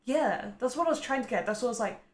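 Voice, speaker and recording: A woman's voice: on the high side, lively intonation, fast talker. A woman reacting casually to game trailers in a playful, gushing way full of admiring exclamations. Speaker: gaming video reactor. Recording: roomy echo, game music behind. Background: game trailer music.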